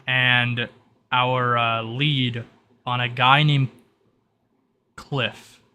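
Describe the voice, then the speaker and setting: A man's voice: mid-range voice, little pitch movement, drawn-out words, bright, forceful tone, slight background noise. A man giving an improvised, matter-of-fact mission briefing in a tabletop role-playing game.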